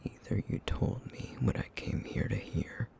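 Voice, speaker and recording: A man voicing a brooding, guilt-ridden, vampire-like essence eater in an ASMR roleplay drama, terse and bitter, with a breathy, gravelly near-whisper. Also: unhurried, darkish tone, natural voice. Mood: brooding, guarded menace.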